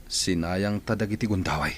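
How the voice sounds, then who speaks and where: A man's voice: low voice, normal speed, a little hiss or hum, lightly acted. A man playing a remorseful, defeated father in a radio drama, talking in a heavy, regretful manner. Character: remorseful, defeated father longing for his children. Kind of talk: Ilocano radio drama acting.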